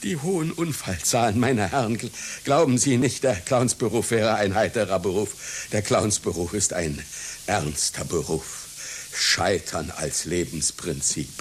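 Husky, melancholy old clown. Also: male voice, lowish voice. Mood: grave, brooding. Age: older. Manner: theatrical, brooding, halting, insistent. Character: anxious, melancholy, self-pitying old circus clown, aged seventy-one. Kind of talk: satirical radio play acting.

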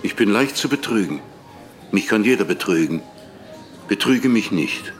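An actor playing a weary, distrustful old poet in a literary radio drama, proud, brusque and guarded. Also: male voice, lowish voice, lively intonation, normal speed, faint music behind, natural voice. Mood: wary, wounded. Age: older.

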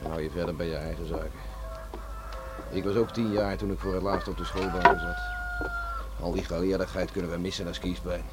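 An actor playing a gruff, stubborn village beachcomber in a Dutch radio play, grumbling and plain-spoken. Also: male voice, low-pitched, steady tone, fairly quick, natural voice. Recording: noisy old radio play recording.

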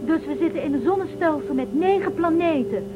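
An impatient woman aboard a starship in a radio play. She speaks high and fast with urgent questions, slightly muffled over music. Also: expressive. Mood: indignant. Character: impatient, questioning female starship crew member.

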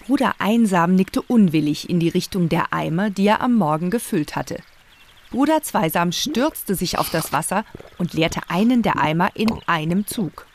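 A woman's voice: on the low side, animated, moderate pace, a little hiss or hum. A woman narrating a scripted children's story in a radio play.

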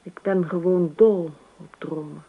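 An actress in a Dutch radio play voicing a dreamy, unruffled wife with an airy, gently teasing, evasive manner. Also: female voice, fairly low pitch, fairly even intonation, measured pace, husky. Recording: dull, lo-fi radio play recording.

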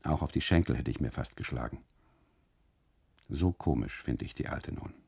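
Male narrator for literary radio monologue: deep, dark-toned, expressive and deliberate, acts out the dialogue. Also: gravelly, husky, no echo. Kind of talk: dramatic first-person literary narration with acted dialogue.

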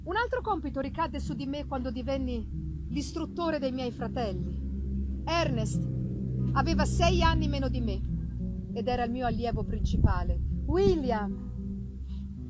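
A woman giving a dramatic, theatrical reading of literary narration, with deliberate phrasing and pauses. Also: high-pitched, lively intonation, over loud music, low-quality recording. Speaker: reader of a literary audiobook. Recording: live reading with noticeable background noise.

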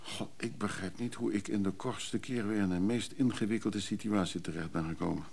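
Low, gravelly, weary caring man. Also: moderate pace, darkish tone, a little hiss or hum. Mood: weary, overwhelmed. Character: weary, caring man, protective and somewhat overwhelmed. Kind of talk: radio drama acting, naturalistic dialogue.

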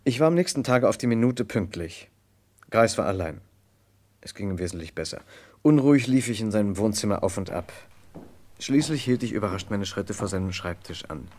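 An actor playing an earnest, courteous gentleman who narrates and assists a detective in an old German radio crime drama, with formal, precise diction. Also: on the low side, expressive, brisk. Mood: composed narration.

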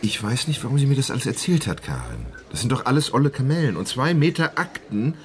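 A man's voice: medium pitch, big pitch swings, fast talker, slight background noise, lightly acted. An actor playing a sceptical, evasive, touchy man in a crime radio drama, with a doubtful, colloquial manner.

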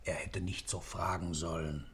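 A man's voice: low-pitched, flat delivery, normal speed, fairly bright tone. A man playing a grave, obsessive witness who narrates a radio play in solemn, declamatory poetic style. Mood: urgent.

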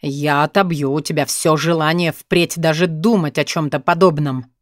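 A woman's voice: fairly even intonation, moderate pace, no echo, clean studio sound, close to an everyday voice. A woman voicing a stern, domineering man in an audiobook drama, commanding and menacing, with bitter, cold anger. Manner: commanding, low-spoken, menacing.

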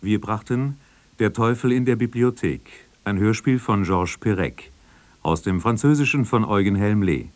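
A man reading formal radio credits with a measured, list-like delivery. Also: fairly low pitch, dry recording. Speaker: radio announcer. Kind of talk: formal read credits announcement.

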